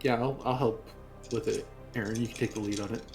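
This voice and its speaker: A man playing a tabletop roleplaying game, speaking in a calm, dry, cautioning way. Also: on the low side, fairly quick, gravelly, over loud music.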